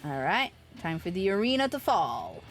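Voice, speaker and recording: A woman livestreamer chatting casually as she plays a video game, expressive and playful, given to exclamations. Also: lowish voice, moderate pace. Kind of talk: casual live gameplay chat and commentary. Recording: game music behind the voice. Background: video game music.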